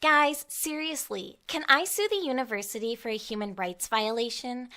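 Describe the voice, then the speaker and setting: A woman's voice: a little high, moderate pace, pushed and bright. A young woman playing an angry, wounded student outcast who conjures spirits in an audio drama, intense and defensive in manner. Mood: hurt, bitter. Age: young adult.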